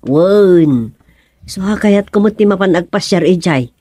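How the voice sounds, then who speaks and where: A woman's voice: lowish voice, animated, a little slow, dark tone, dry recording, clean studio sound. A woman playing a chatty, teasing village wife in a radio comedy drama, with an Ilocano accent.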